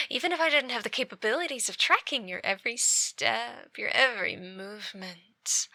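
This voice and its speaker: A woman plays an obsessive, possessive ex-girlfriend in a scripted ASMR drama. Her voice is very bright and sharp, recorded close and dry. She draws her words out slowly with menacing sweetness, often sinking into a breathy whisper. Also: on the high side, no echo, exaggerated voice. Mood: taunting, obsessive. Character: obsessive yandere ex-girlfriend stalking her former lover. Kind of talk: ASMR roleplay, scripted monologue.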